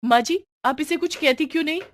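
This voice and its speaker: A woman's voice: high-pitched, fairly quick, no room sound, pitched above the performer's own voice, lightly acted. Woman playing a sly, whining young daughter-in-law. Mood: indignant whining. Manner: wheedling, self-pitying, dramatic. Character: sly, lazy young elder daughter-in-law feigning illness.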